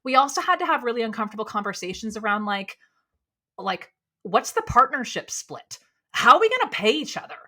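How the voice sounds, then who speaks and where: A woman with a low voice, a speaking coach talking candidly as a podcast guest, animated and quick with lots of "like". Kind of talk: candid conversational interview.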